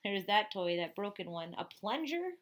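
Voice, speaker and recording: Expressive woman reading a picture book aloud. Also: mid-range voice, normal speed. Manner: expressive, chatty with young listeners. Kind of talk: picture book read-aloud with casual asides.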